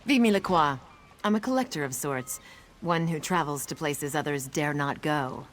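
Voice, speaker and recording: An actress playing a cool, self-assured seafaring adventuress in a video game. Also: female voice, deep voice, moderate pace, a little hiss or hum, close to an everyday voice. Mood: confident. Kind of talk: video game character dialogue.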